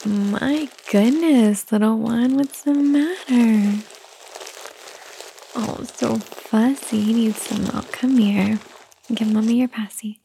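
A woman doing a whispered ASMR roleplay, talking softly and soothingly in a gentle whisper. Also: on the high side, takes it slowly, gravelly, slight background noise, poor audio. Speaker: ASMR performer.

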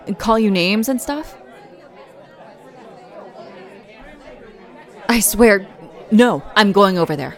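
A woman's voice: fairly high pitch, lightly acted. A woman playing a fiercely protective, loving young girlfriend who speaks straight to the listener as "baby" in a roleplay audio. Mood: concerned.

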